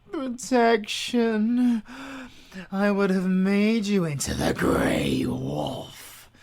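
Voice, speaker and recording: A British man playing a suave, possessive werewolf villain in an audio roleplay, grandiose and mock-gentlemanly, moving between hushed murmurs and cries. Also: mid-range voice, vocal fry, full character voice. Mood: grandiose, anguished.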